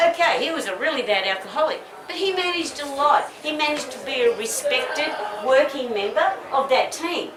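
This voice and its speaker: Middle-aged Australian woman, blunt and chatty festival speaker, slightly high voice, heard from afar in a roomy space. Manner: chatty, rambling, blunt, with swearing and laughs. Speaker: medical cannabis advocate giving a festival talk. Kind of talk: informal public talk with audience questions. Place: festival talk in front of an audience. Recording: echoey, distant mic, audience crowd audible.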